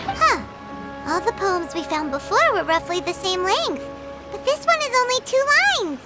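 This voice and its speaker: A tiny chirpy fairy sidekick from a video game. The voice is extremely high and childlike, swooping wildly and bubbling with excitement, with loud music behind. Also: female voice, normal speed. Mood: bubbly, curious. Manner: bubbly, exclamatory. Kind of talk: scripted game dialogue.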